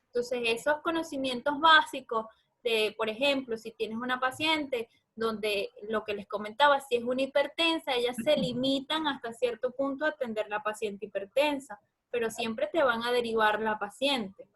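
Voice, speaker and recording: A woman with a distinctly high voice and a Venezuelan Andean accent speaks as a lecturing doctor. She explains things in colloquial language, addressing her listeners directly in an informal question-and-answer session. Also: moderate pace, fairly bright tone. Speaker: guest lecturer, obstetrics doctor.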